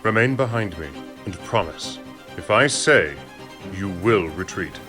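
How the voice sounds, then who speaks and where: An actor playing a cold, commanding aristocratic count in an audio drama, speaking in a formal, measured and imperious way. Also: male voice, low voice, expressive. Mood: composed, assured. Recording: long reverb, loud music behind.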